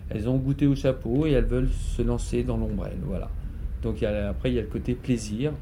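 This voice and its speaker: A man gives unscripted interview answers as a shopkeeper. His voice is very dark and mellow, with a gravelly creak. Clear background ambience sits behind him. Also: medium pitch, fairly even intonation, quick pace, slight background noise. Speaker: shopkeeper selling canes and umbrellas.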